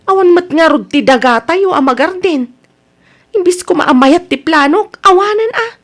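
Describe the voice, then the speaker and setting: A very high female voice with an Ilocano accent, a woman acting a frustrated wife in a radio comedy-drama. She is aggrieved and emotive, pouring out complaints. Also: animated, normal speed. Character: aggrieved married daughter, Zoraida, frustrated with her husband.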